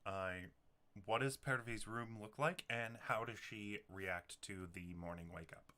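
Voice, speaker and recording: A man narrating a tabletop roleplaying game as game master, talking haltingly as he thinks things through. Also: fairly low pitch, sharp tone.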